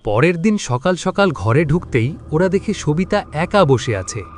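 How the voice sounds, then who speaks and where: A man tells a scripted horror tale as its narrator. His voice is somewhat high and very animated in pitch, dramatic in delivery, with faint noise and ambience behind it. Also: a little slow. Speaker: story narrator.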